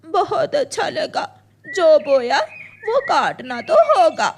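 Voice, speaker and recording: A woman puts on a spiteful cartoon crow wife, eaten up with jealousy. Her voice is very high and swoops a lot in melodramatic, indignant speech. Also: very animated, slow, slight background noise, heavily acted. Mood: rueful. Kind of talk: Hindi cartoon story acting.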